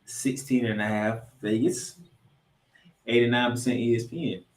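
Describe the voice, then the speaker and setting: A man's voice: mid-range voice, steady tone, moderate pace. An American man in an echoey room hosts a sports show. He talks through football matchups in an emphatic, colloquial way, with a mid-range voice. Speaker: sports podcast host. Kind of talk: animated football recap and preview. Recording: roomy, echoing sound.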